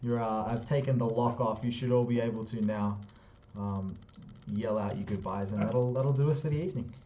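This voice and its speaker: Laid-back host, muffled audio. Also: male voice, mid-range voice, a little fast, warm tone. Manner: laid-back, matey, lots of "mm" and "man". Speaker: interview host. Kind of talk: casual online interview. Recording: dull, slightly lo-fi call audio.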